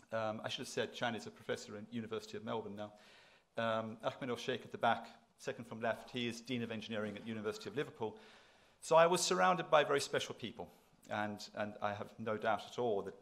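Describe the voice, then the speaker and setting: A middle-aged man speaks to an audience, at a medium pitch. He delivers his address conversationally, leaning on key words and repeating phrases for emphasis. He talks from a podium in a lecture hall. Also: creaky, a bit bright. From South Africa. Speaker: institution president giving inaugural address.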